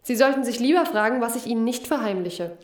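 Radio play role: guarded, matter-of-fact woman night worker played by an actress, evasive, slightly high voice, roomy recording. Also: lively intonation, fast, close to an everyday voice. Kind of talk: radio crime play acting.

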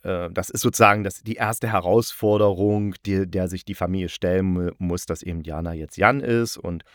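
A man's voice: low-pitched, moderate pace, dry recording, clean studio sound. A man hosting a podcast about audio dramas, chatting casually and rambling a little, with wry, ironic asides. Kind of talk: casual solo podcast talk.

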